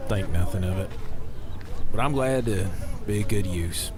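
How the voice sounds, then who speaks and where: An actor playing a folksy, self-satisfied circus boss in an audio drama, jovial and patronizing in manner. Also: male voice, low voice, crowd noise, close to an everyday voice. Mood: gleeful, smug. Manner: folksy, jovial, drawn-out showman phrasing.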